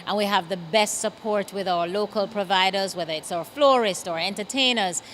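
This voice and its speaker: A woman's voice: mid-range voice, normal speed, fairly bright tone, a little hiss or hum. Woman resort rep, upbeat, faint background ambience. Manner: proud, upbeat. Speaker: resort wedding representative. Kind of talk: promotional interview.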